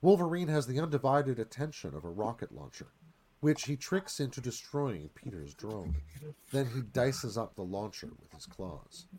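A man's voice: mid-range voice, sing-song, moderate pace, darkish tone, slight background noise. A man narrating a screenplay's stage directions in a live radio-theatre reading.

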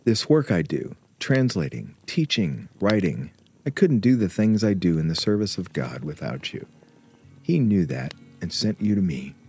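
An actor playing a tender, devoted husband and scholar in a radio drama, speaking gently and earnestly. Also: male voice, low voice, big pitch swings, moderate pace, soft background music. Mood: earnest, grateful.

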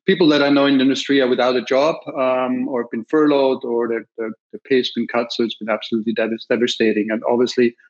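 A middle-aged man with an Austrian accent, talking through industry questions in a measured, optimistic way, with plenty of ums. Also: medium pitch, level tone. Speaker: podcast host and industry guest. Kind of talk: conversational interview answers. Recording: slightly dull lo-fi audio.

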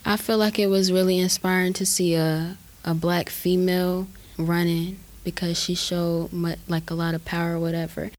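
Teen girl panelist, low steady voice, earnest and filler-heavy. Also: moderate pace. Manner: earnest, many "I feel like" and "like" fillers. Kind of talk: casual discussion.

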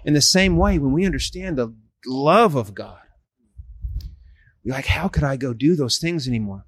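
A man's voice: mid-range voice, lively intonation, moderate pace, dry recording. Earnest man in his forties, dry sound. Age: 40s. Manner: earnest and persuasive, frequent restarts. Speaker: street evangelist. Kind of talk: casual street conversation.

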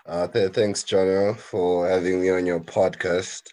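A man's voice: low voice, little pitch movement, a little slow, warm tone. A man in his thirties with a Zimbabwean accent, chatting casually as an interview guest about himself. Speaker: podcast guest, actuary. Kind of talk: casual interview chat, recounting background.

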